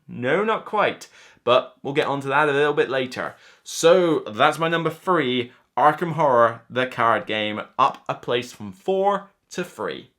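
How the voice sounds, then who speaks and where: A man's voice: mid-range voice, lively intonation, unhurried, fairly bright tone. A man in his thirties giving an enthusiastic, chatty, casual countdown review to camera as a board game reviewer. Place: indoor room lined with board game shelves.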